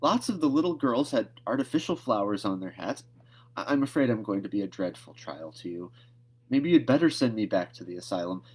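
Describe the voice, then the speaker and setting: A man's voice: lowish voice, big pitch swings, fairly quick, breathy edge. A man voicing an earnest, talkative young orphan girl with a plaintive, dramatic manner in a storybook reading. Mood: tearful, pleading.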